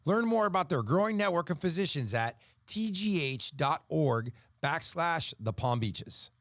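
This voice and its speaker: A man's voice: fairly high pitch, very animated, unhurried, fairly bright tone. A man reading a scripted sponsor advertisement as an announcer.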